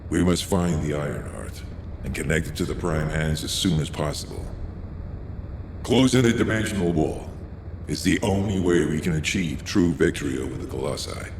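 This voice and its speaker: A man playing a grave, commanding military leader in a video game, stern, formal and deliberate. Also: very deep voice, lively intonation. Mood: solemn, resolute. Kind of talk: video game cutscene acting. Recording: echoey hall reverb, background ambience noise.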